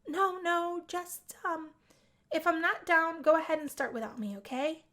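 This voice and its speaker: A woman's voice: extremely high pitch, normal speed, fairly bright tone, voice pushed up. A woman voicing a hesitant, shy young college student in a visual novel, speaking tentatively. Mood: nervous.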